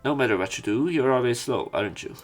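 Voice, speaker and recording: A man's voice: mid-range voice, lively intonation, fast talker. A man narrating a let's play, reading visual novel narration and dialogue aloud. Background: game music.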